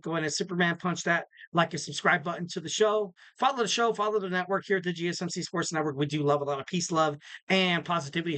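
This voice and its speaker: A man hosting a wrestling podcast alone, rambling cheerfully with many fillers in a slightly raspy voice, close to the mic. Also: a little high, sharp tone, dry recording. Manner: rambling, filler-heavy, upbeat promo talk. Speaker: podcast host. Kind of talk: casual solo wrestling preview.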